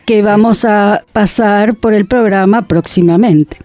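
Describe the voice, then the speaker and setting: A woman's voice: mid-range voice, measured pace, warm tone, dry recording, faint music behind. Buenos Aires woman hosting, dry sound. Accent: Buenos Aires Spanish. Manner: chatty, interjects agreement often. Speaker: radio host, theatre writer. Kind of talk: casual radio interview. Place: radio studio.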